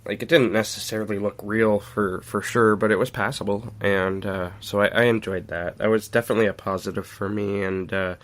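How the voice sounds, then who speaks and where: A man's voice: fairly low pitch, steady tone, brisk, hardly any pauses, a bit bright. A man co-hosting a podcast in a casual, candid and informal film review chat. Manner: informal, candid, mildly profane. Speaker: podcast co-host.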